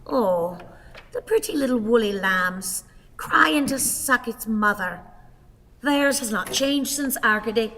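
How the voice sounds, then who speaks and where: Radio drama: plaintive elderly Irishwoman, theatrical and wordy, raised pitch, reverberant hall sound. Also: animated, takes it slowly, pushed and bright, slight background noise, heavily acted. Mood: earnest, wistful. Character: frail, plaintive, melodramatic old Irish wife. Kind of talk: theatrical radio play acting.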